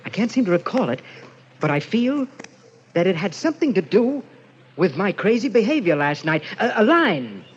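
An actor playing a tormented, obsessed newlywed husband in an old-time radio thriller, halting and repeating himself with melodrama. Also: male voice, high voice, sing-song. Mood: frantic, obsessed. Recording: dull, lo-fi, faintly noisy vintage radio recording.

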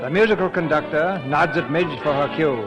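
Old-time radio announcer over loud music. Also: male voice, on the high side, brisk, poor audio. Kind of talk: scripted radio narration.

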